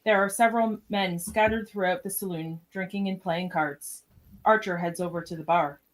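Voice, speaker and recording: A woman's voice: lowish voice, fairly even intonation, moderate pace, low-quality recording. A woman reading scripted scene directions as narrator of a podcast radio play.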